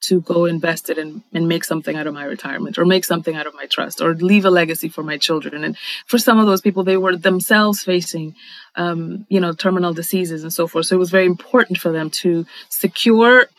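A woman's voice: fairly low pitch, a little fast, no echo, rough recording. Thoughtful woman from the Dominican Republic, dry sound. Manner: thoughtful, articulate, with frequent fillers and restarts. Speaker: interview guest. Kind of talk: reflective conversational interview.

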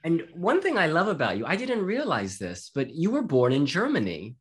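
A woman with a very deep, husky voice interviews a singer. Her pitch swings widely as she asks warm, encouraging questions. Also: wildly swooping pitch, normal speed.

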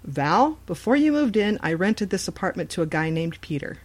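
A woman's voice: lowish voice, big pitch swings, normal speed. A woman voicing a frightened, reluctant landlady breaking grim news in an audio horror story. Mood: grave, reluctant.

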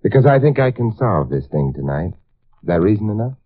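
Smooth wry private sleuth from 50s radio drama, deep voice, tinny old recording. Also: male voice, big pitch swings, brisk. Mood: calm, probing. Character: suave, wry, world-weary male amateur detective. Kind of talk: 1950s radio drama acting. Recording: tinny band-limited vintage radio recording.

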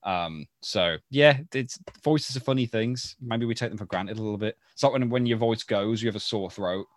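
A man's voice: on the low side, normal speed, no room sound. A man co-hosting a podcast in casual chat, rambling and thinking aloud as he goes. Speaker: podcast co-host. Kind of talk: casual chat about a sitcom.

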